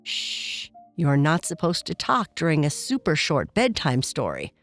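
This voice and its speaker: Woman voicing a gentle, patient papa bear. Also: deep voice, huge pitch swings, a little slow, faint music behind, full character voice. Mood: playful. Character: gentle, patient father bear, loving and playful.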